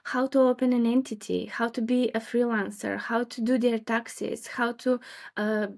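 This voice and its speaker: A young woman, a freelance designer talking thoughtfully as an interview guest, with many fillers and pauses. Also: a little high, flat delivery, vocal fry, darkish tone. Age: young adult. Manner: thoughtful, hesitant with fillers and light laughs. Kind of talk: casual interview chat.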